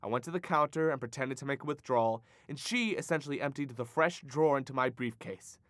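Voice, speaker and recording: A man's voice: medium pitch, quick pace, fairly bright tone, no echo, studio quality, close to an everyday voice. A man playing an evasive, self-serving bank robber in a radio drama, nervous and pleading. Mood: confessing. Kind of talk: noir radio drama acting.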